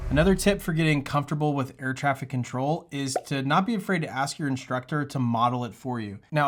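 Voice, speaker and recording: A man speaking casually and earnestly, like an instructor hosting a tutorial, with a reassuring, encouraging manner. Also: mid-range voice. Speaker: pilot and aviation tutorial host. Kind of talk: casual, encouraging advice talk to camera.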